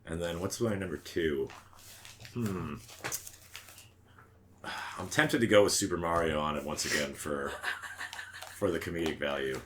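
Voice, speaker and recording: A man's voice: low-pitched, a little slow, pauses often, a bit bright, slight background noise. A man chatting casually as a podcast host, talking in a profane, slangy, emphatic way. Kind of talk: casual chat about movies.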